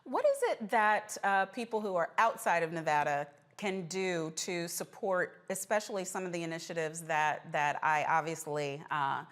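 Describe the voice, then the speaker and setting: Low-pitched American woman hosting a news interview. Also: moderate pace, hardly any pauses. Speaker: host, interviewer.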